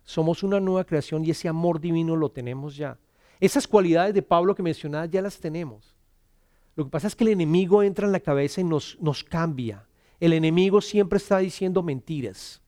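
Earnest preacher, dry sound. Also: male voice, a little high, no echo. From Colombia. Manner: earnest, repeats words when starting, drops to a soft hush on scripture. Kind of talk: sermon. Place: church.

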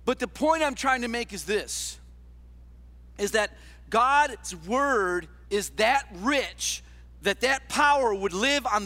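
A man preaching a sermon as a pastor, conversational and emphatic, tossing out rhetorical questions and swinging between raised and hushed delivery. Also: high voice. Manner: conversational, with rhetorical questions and tags like "all right?". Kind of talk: sermon to a congregation.

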